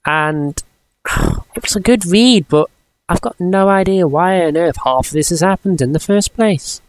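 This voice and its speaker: A man of twenty-one giving a casual, chatty comics review for a vlog, rambling and often halting mid-sentence. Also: sits high, slight background noise, poor audio. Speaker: vlogger.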